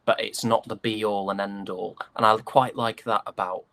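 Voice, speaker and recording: A man's voice: on the low side, little pitch movement, moderate pace, a bit bright, no room sound. A man giving casual interview answers as a guest, thoughtful and hesitant. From United Kingdom.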